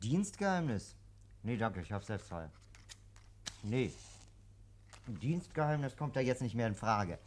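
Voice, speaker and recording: An actor playing a chatty, easygoing police sergeant in a 1950s German radio play, talking in a folksy, colloquial and jovial way. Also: male voice, medium pitch, big pitch swings, measured pace, pauses often, a little hiss or hum. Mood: casual. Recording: vintage 1951 radio drama recording.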